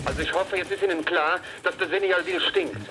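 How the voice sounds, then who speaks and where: A man's voice: high voice, fairly even intonation, brisk, pushed and bright, faint music behind. A man acting a nervous, secretive informant in a German radio play. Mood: tense, guarded.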